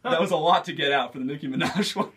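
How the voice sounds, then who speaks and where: Male comedian for casual radio banter, fairly high voice with some husk. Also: quick pace, a bit bright, husky, distant mic. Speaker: comedian and impressionist, radio guest. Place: radio studio.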